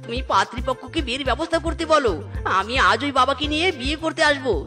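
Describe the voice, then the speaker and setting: A silly, excitable young groom from an animal cartoon, played by a woman. The voice is very high and whiny, with naive exclamations. Music is clearly heard behind it. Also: fast talker. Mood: eager. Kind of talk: cartoon voice acting.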